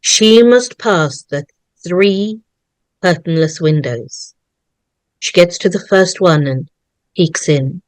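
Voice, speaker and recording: Slow, suspenseful woman narrator. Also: a little high, dry recording, rough recording. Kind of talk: dramatic scripted narration of horror screenplay.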